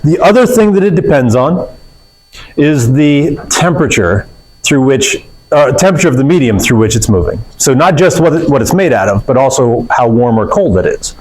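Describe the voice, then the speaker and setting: A man's voice: mid-range voice, animated, moderate pace, a little mellow, low-quality recording. A man teaching a physics class in a classroom, explaining in a casual way with fillers and self-corrections. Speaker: physics instructor. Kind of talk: classroom lecture.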